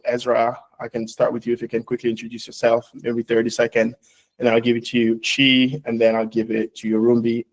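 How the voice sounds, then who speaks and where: A founder addresses his audience in an earnest, halting way, leaning on fillers as he goes. His voice sits at an ordinary middle pitch for a man. He sounds like he is speaking to a live event crowd and an online audience. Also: rough recording. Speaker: founder and CEO, panel moderator. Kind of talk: launch speech and moderating.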